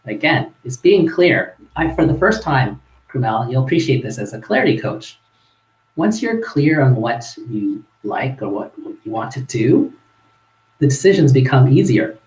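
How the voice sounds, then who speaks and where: Canadian male guest, relaxed storyteller with a chuckle, echoey room. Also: medium pitch, normal speed. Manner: relaxed, chuckling, with frequent fillers and restarts. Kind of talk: casual storytelling in a live-streamed interview.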